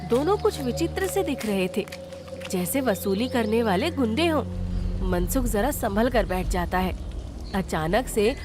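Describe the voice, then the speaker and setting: A woman narrates a children's tale in a high voice. Her delivery is scripted storytelling, laid over clearly audible music. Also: lively intonation, measured pace.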